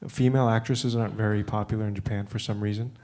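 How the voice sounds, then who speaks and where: A man with a fairly low voice speaks as a panelist at a conference. He grew up in the United States and talks loosely, stopping to restart and filling pauses with "um" and "uh.". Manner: relaxed, with many fillers and restarts. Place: conference room.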